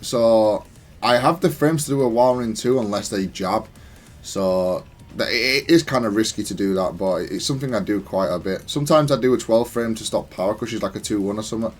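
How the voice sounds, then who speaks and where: A man's voice: lowish voice, a little fast. A man giving casual, analytical gameplay commentary in a matter-of-fact, self-critical way. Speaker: gaming content creator.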